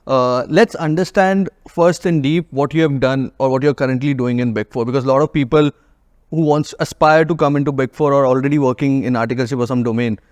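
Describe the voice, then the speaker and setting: A man's voice: mid-range voice, darkish tone, no echo, studio quality. A man with an Indian accent hosting a casual panel interview podcast. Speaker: podcast host.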